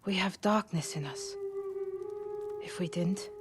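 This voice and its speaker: A woman's voice: fairly low pitch, slow, very noisy. An actress playing a sly, hardened young woman archer in a feudal Japan video game, with a wry, teasing manner. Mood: cool, scheming. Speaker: game character actor. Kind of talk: dramatic video game acting.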